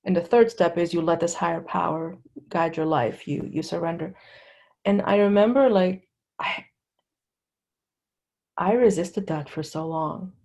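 Middle aged woman from lebanon telling her story, halting and candid, lots of vocal fry. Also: fairly low pitch, a little mellow. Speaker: interview guest. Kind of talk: personal testimony in casual conversation.

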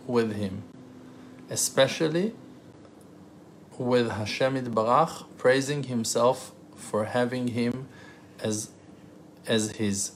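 Earnest Israeli-accented rabbi, Hebrew native, teaching in English with halting, self-correcting delivery and mid-range pitch; faint noise behind. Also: male voice. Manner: earnest, emphatic, rhetorical questions; halting, pauses and self-corrections while translating. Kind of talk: spontaneous religious teaching.

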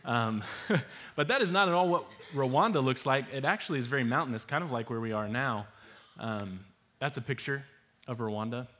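A man's voice: medium pitch, moderate pace. A young man hosting a church talk, walking through maps and photos in an easy manner with many fillers. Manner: relaxed, many fillers, laughs easily. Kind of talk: presenting with slides, casual.

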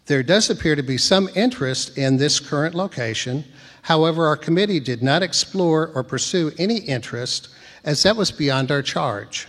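Man, soft-spoken, measured report reading, higher voice, long church reverb. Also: expressive. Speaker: church committee member presenting. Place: church sanctuary. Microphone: podium microphone.

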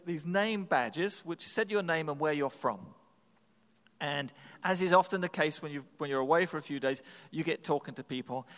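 A man's voice: on the high side, a bit bright, long reverb tail. A man preaching, telling a personal story in a conversational, self-deprecating way with frequent restarts. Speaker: pastor. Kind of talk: sermon storytelling.

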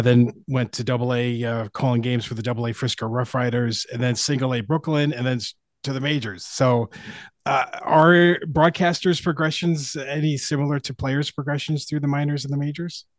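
A man's voice: mid-range voice, dry recording. A man hosting a podcast interview, putting conversational questions to his guest.

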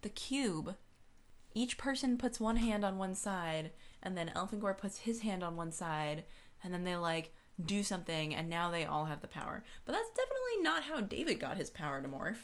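A woman's voice: mid-range voice, very animated, normal speed. A woman co-hosting a casual book discussion, talking informally and questioning things as she thinks aloud. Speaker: podcast co-host. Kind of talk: casual chat discussing books.

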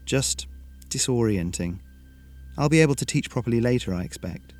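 A man's voice: medium pitch, expressive, normal speed, a little mellow, soft background music, close to an everyday voice. A man voicing a patient, encouraging male teacher in a story. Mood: reassuring.

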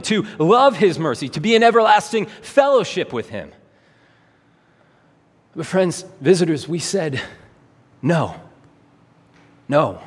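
A man preaching a sermon in an earnest, emphatic way, with rhetorical questions and repetition. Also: high voice. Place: large hall, preaching to a congregation. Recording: reverberant hall.

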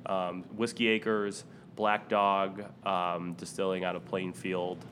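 Low-voiced, agreeable distiller man. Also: level tone, slow, a bit bright, a little hiss or hum. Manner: agreeable, chimes in often, trails off. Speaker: distillery owner, trade group member. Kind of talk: casual chat.

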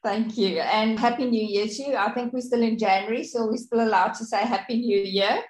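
A woman's voice: on the high side, fairly even intonation, a little fast, roomy sound, low-quality recording. A woman podcast host whose first language is Afrikaans, chatty and encouraging as she interviews a guest.

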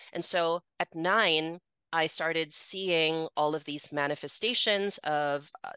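Bright, clear-voiced woman in her forties. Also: sits low, no room sound. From Philippines. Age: 40s. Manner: candid, chatty, many "like" fillers, laughs easily. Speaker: podcast guest. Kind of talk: casual interview, telling her life story.

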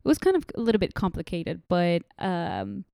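A young woman from Saudi Arabia speaks. Her voice is mellow and smooth, and her talk is loose and chatty, full of fillers and restarts. The sound is dry and close. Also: on the low side, big pitch swings, moderate pace, dry recording. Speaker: interview guest. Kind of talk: casual interview chat.

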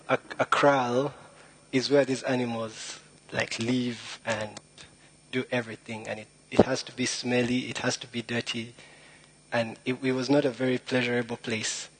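A man's voice: medium pitch, little pitch movement, moderate pace, husky, low-quality recording. A young man from Rwanda, a little nervous, giving a chatty, joking talk full of puns and self-deprecating humor. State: a bit nervous. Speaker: guest speaker. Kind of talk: informal storytelling talk.

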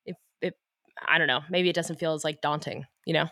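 A woman's voice: deep voice, steady tone, a little fast, sharp tone, no echo, clean studio sound. A woman hosting a podcast, asking playful, curious questions in a casual chat. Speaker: podcast host.

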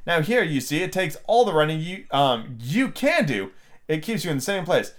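A man voicing a brisk, bossy chess queen from a storybook, speaking in an imperious, instructing manner. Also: a little high, very animated. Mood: calm, patronizing.

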